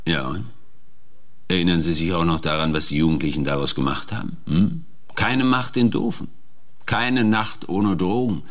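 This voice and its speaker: A man's voice: low voice, moderate pace, lightly acted. An actor playing a curt, domineering male industry lobbyist in a radio play, brusque and condescending. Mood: dismissive.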